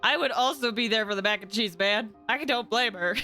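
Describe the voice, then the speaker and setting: A woman's voice: a little high, fairly quick, sharp tone, faint music behind. A woman chatting casually and playfully as she comments on a game live, often repeating herself. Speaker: game streamer.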